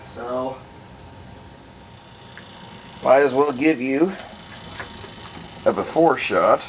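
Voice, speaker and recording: A man giving a casual hands-on demonstration, unhurried and folksy, thinking aloud as he works. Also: mid-range voice, expressive, slight background noise. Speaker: hobbyist restorer and video host.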